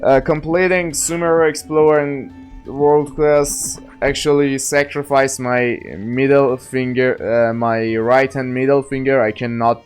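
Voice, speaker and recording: A man chatting casually as a game streamer, speaking haltingly. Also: medium pitch, flat delivery, soft background music. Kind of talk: casual gameplay chat.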